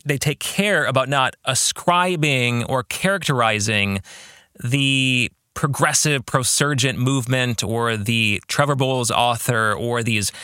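Slow, bright-voiced man with animated pitch. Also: clean tone, dry recording, studio quality. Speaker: podcast host and novelist.

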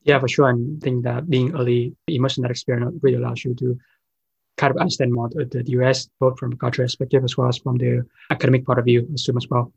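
A man's voice: medium pitch, flat delivery, vocal fry, darkish tone, breathy edge, dry recording, dull audio. A man hosting a podcast interview, asking questions with occasional fillers. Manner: quick, reads questions with fillers. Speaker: podcast host.

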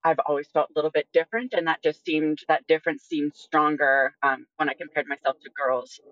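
A woman in her early twenties giving a measured, explanatory personal account as an interview guest. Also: deep voice, steady tone, fairly bright tone, dry recording, highs cut off. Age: early 20s. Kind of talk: reflective personal account in conversation.

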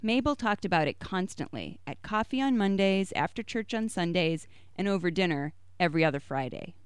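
A woman reads a short story aloud in a fairly low, smooth voice. She is recorded close and dry, with no room sound around her, and she lifts her voice a little higher and brighter when a character speaks. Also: animated, brisk, no room sound. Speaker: story reader.

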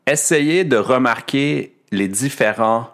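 A man's voice: medium pitch, takes it slowly, very noisy. A man with a Québécois French accent hosting a podcast lesson, explaining things carefully with frequent hesitations. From Quebec. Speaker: podcast host and French teacher.

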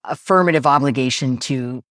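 A woman's voice: extremely low pitch, normal speed, no room sound, clean studio sound. A woman in her forties, a real estate agent, explaining the buying process in a casual interview and laughing easily.

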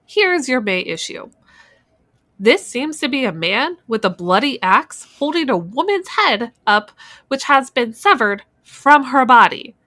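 Woman reading as a slow, bright-voiced probing senator. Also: on the high side, very animated, no room sound, heavily acted. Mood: pointed, accusing. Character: stern, probing male senator questioning a witness. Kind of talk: amateur dramatic reading of a hearing transcript.

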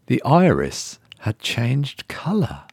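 A man reading a horror short story as narrator, in a measured, suspenseful dramatic style. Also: medium pitch, wildly swooping pitch, a little mellow, husky, no echo, clean studio sound.

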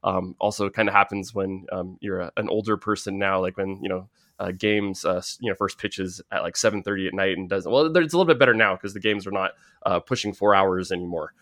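A man from the Bay Area with an American accent, hosting a casual sports podcast in a halting, rambling, filler-heavy way. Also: low-pitched, fairly bright tone, dry recording, clean studio sound. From San Francisco Bay Area, United States. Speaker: podcast host.

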